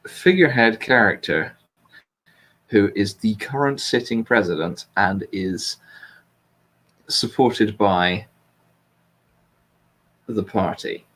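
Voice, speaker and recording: A man's voice: on the low side, slightly rough, poor audio. A British man hosting a casual political chat, speaking bluntly with swearing and a self-deprecating streak. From Britain. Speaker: host.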